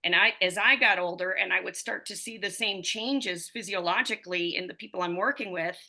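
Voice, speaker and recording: A woman in her fifties talks as a podcast guest, winding through her story with plenty of fillers and restarts. Her voice has a bright, forward edge, sitting at an ordinary pitch for a woman. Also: a little fast. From United States. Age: 50s. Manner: rambling storytelling with many fillers. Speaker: running coach and physical therapist. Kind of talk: conversational podcast interview.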